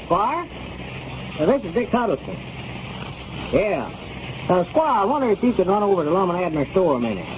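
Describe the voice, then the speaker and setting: An actor playing a level-headed, plain-spoken friend in a 1930s radio comedy. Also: male voice, high voice, big pitch swings, normal speed, pauses often. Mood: on phone. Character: Dick Huddleston, level-headed friendly rural man. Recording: muffled 1930s radio recording with clear hiss.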